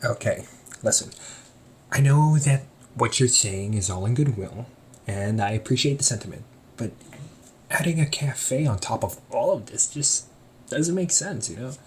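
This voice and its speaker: A man's voice: medium pitch, animated, normal speed, slight background noise. A young man playing an easygoing shop owner talking to his sibling in a one-sided audio roleplay, teasing and laughing often. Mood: defensive.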